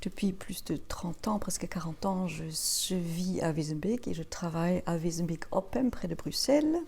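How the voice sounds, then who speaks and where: A woman in her fifties from Belgium, a native German speaker, answering interview questions as a practitioner in a measured, explanatory way. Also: lowish voice, creaky, darkish tone, slightly rough. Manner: measured, explanatory, listing her path step by step. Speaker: guest, family constellation practitioner.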